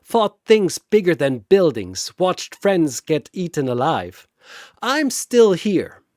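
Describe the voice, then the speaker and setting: A man voicing a scrappy young female soldier who is always hungry, shouting defiant quips. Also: fairly high pitch, very animated, slow, dry recording, studio quality, pitched above the performer's own voice. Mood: resolute.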